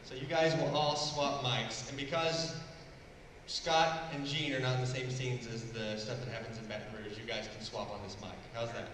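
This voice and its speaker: A man works as a casual event host, organizing people off the cuff. His mid-pitched voice comes from far off the mic in a very echoey hall, with clear noise around it. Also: fairly even intonation, normal speed. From Kentucky, United States. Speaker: host organizing a live table read. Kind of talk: casual event hosting, improvised instructions to participants. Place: large convention hall with live ambience.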